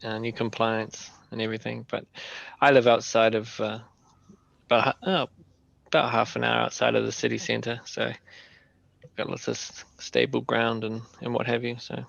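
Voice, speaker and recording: A man chatting casually in a hesitant, halting way with frequent restarts. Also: medium pitch, little pitch movement, vocal fry, fairly bright tone, dry recording. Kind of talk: casual chat. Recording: dry, dull lo-fi sound.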